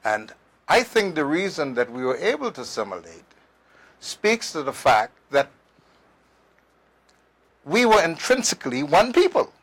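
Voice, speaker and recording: A man's voice: fairly high pitch, big pitch swings, a little slow, long pauses, fairly bright tone. A man, a guest historian explaining at length in a conversational interview, emphatic, with fillers and restarts. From Turks and Caicos Islands.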